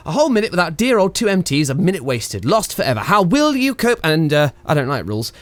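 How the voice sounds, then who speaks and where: A man's voice: fairly high pitch, very animated, fast talker, no room sound. A man playing a cheeky, whimsical 1920s radio pioneer in a radio play, rambling theatrically with hesitant, playful asides to listeners. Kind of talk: 1920s radio play acting, comic monologue.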